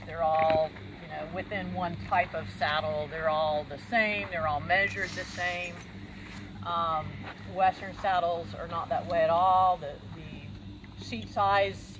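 A woman riding clinician giving direct, practical instructions and explaining saddles to riders outdoors. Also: on the low side. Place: outdoor riding arena and stable. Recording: noisy outdoor camera audio.

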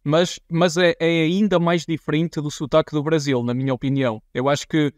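A man's voice: a little high, fairly even intonation, normal speed, no echo, clean studio sound. A young man with a European Portuguese accent giving casual, off-the-cuff commentary, thinking aloud and repeating himself. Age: young adult. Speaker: reaction video host.

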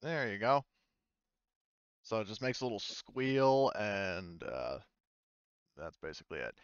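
A man's voice: lowish voice, very animated, measured pace, clear, resonant tone, no echo, lo-fi sound. A man running an online tabletop game as game master, talking casually and hesitantly as he thinks aloud.

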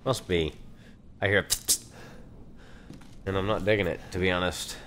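A lowish, hoarse male voice with a Texan accent rambles his way through a horror game, half talking to viewers, half muttering to himself. He gets jumpy and curses when startled. Faint eerie game ambience sits under the voice. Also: fairly even intonation, moderate pace, a bit bright, slight background noise. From Texas. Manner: chatty, nervous, mutters and swears at scares. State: hoarse from allergies. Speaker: let's play gamer.

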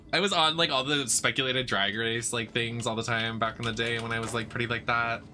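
A man's voice: medium pitch, fairly even intonation, moderate pace, sharp tone, slight background noise. A young man chatting casually on a game livestream with a campy, dramatic delivery. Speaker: drag performer and game streamer. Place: indoor streaming setup.

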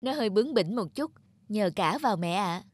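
A woman playing a brisk young city mother in an animated folk tale, talking in a coaxing way. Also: mid-range voice, lightly acted. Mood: brisk, instructing. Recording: music under the voice.

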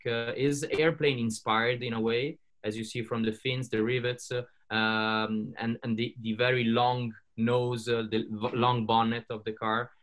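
A man's voice: mid-range voice, a bit bright. A man on a video call, speaking hesitantly with many fillers but enthusiastically about classic cars on a panel.

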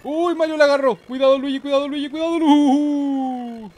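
A man's voice: very high voice, steady tone, moderate pace, darkish tone. A man giving casual, slangy, mock-boastful gaming commentary with some swearing. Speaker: gameplay host.

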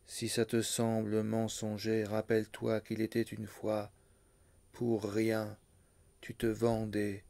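A man reading poetry aloud in a literary recitation, phrasing deliberately in short, separated groups of words. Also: on the low side, gravelly, darkish tone. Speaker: poetry reader.

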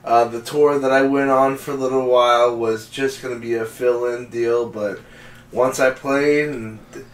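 Halting man in an echoey room. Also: medium pitch, far from the mic. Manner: excited, halting restarts. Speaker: guest musician. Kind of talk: casual chat. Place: small home den, indoors. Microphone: far camera mic.

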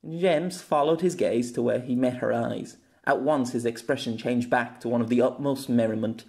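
A man's voice: mid-range voice, moderate pace, vocal fry, a little mellow. A man reading a scripted fantasy story aloud as an audiobook narrator.

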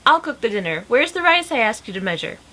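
An easily alarmed lady of the house in a storybook, put on by a woman reader. She is exasperated and exclaims her dismay. The voice is high, sharp and forceful. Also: big pitch swings, fast, full character voice. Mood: brisk.

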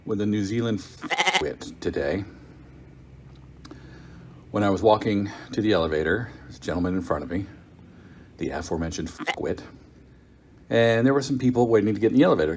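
A man's voice: sits low, a little mellow, breathy edge. A middle-aged man from the United States, recording a wry, chatty video diary on a phone held at arm's length in a hotel room. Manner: chatty, wry, self-mocking storyteller. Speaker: vlogger. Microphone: phone camera at arm's length.

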